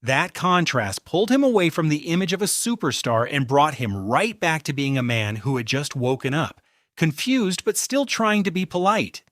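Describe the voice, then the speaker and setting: A man narrates a scripted video in a fairly high voice with very lively intonation. The delivery is measured storytelling, recorded close and dry. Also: no room sound. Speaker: narrator of a celebrity compilation video.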